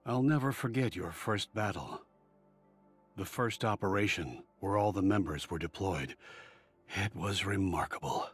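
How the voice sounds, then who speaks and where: A man's voice: low voice, measured pace, breathy edge, soft background music. An actor voicing an earnest, admiring but cautious man in a video game, talking like an articulate storyteller. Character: earnest, admiring, cautious male fan of war heroes.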